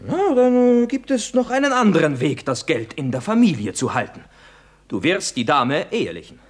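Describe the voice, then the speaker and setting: A man's voice: on the high side, very animated, moderate pace. An actor playing a blustering, teasing middle-aged father and lover in a theatrical German radio comedy, emphatic and full of stammered repetitions. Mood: mock-stern. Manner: emphatic, stammering repetitions, swinging from scolding to tender.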